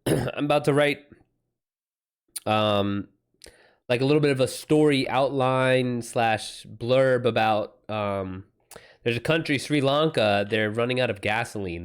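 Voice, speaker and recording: A man hosting a casual livestream, chatting with viewers in an informal, slangy, halting way with some swearing. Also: medium pitch, clean tone. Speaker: livestream host and writer. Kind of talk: casual livestream chat, reacting to viewer messages.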